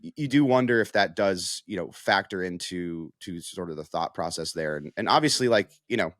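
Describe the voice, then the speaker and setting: A man's voice: low voice, animated, normal speed, a bit bright, no echo, studio quality. An American man giving casual, conversational sports analysis as a reporter, with frequent filler phrases like "you know".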